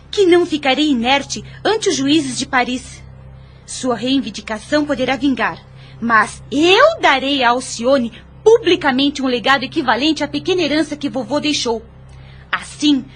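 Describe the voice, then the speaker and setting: Woman acting a high-voiced, principled young niece. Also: a bit bright, a little hiss or hum. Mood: defiant. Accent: Brazilian. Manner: formal, firm, earnest. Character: principled, spirited young niece defending an orphan. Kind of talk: audio drama acting in formal Portuguese.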